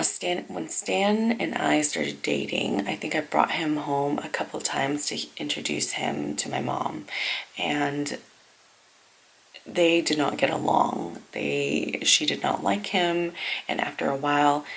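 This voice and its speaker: A young woman who is a native Vietnamese speaker, chatting casually and haltingly to viewers as a vlogger. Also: sits low, creaky, fairly bright tone. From grew up in a Vietnamese-speaking family. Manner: informal, halting, thinking aloud. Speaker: family vlogger. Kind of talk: casual personal vlog chat. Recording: noisy home-video sound with clicks.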